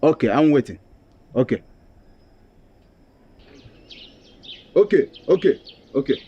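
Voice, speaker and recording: A man's voice: a little high, animated, fairly quick, slightly rough, muffled recording. A man playing a nervous land buyer who pleads and repeats himself. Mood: impatient. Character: nervous male land buyer, terrified when robbed.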